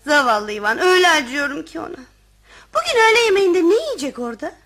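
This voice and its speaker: An actress playing a vain, flirtatious society wife in a radio comedy, chattering coquettishly. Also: female voice, sits high, big pitch swings, quick pace, fairly bright tone, exaggerated voice. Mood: mock pitying. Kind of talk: radio theatre comedy acting.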